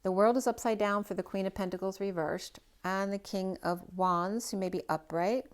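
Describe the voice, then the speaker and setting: A woman's voice: medium pitch, fairly even intonation, normal speed, clear, resonant tone. A woman giving a general tarot reading for a star sign. She rambles loosely, stacks up one possibility after another and thinks aloud with frequent "right?" asides. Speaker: tarot reader.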